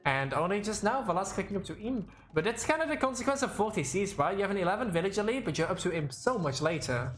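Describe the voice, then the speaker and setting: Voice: medium pitch, very animated, fast talker, few pauses. This is a streamer casting a game live in a casual, conversational way. The talk rambles, with thoughts worked out aloud and corrected on the go. The voice has a noticeable room echo, with music faintly behind it. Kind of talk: casual live esports commentary, chatting with viewers.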